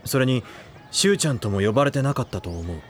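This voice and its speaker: An actor playing a stoic, blunt high-school boy in an audio drama, terse and calm. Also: fast talker, a little hiss or hum, close to an everyday voice. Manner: terse, calm, formal-sounding. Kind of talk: Japanese audio drama acting.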